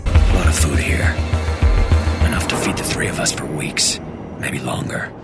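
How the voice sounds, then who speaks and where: A man playing a gritty, bitter young antihero, narrating a video game in a terse, brooding, gravelly voice. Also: very deep voice, normal speed, loud music behind the voice. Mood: cold, calculating. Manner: brooding, terse, hard-boiled delivery.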